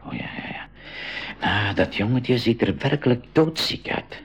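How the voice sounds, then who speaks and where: A cautious expedition leader from a radio play. His medium-pitched voice swings widely as he doubts and hesitates, repeating words while he thinks things through. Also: lively intonation, normal speed, breathy edge, soft background music. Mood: concerned. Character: Uncle January, cautious worried professor and expedition leader. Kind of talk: 1980s school radio drama acting.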